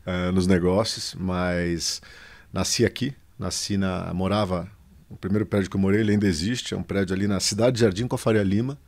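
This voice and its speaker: A middle-aged man, a company founder telling his story in a relaxed interview, with lots of fillers and drawn-out pauses. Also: low voice. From São Paulo, Brazil. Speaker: guest, advertising agency founder. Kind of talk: casual conversational interview.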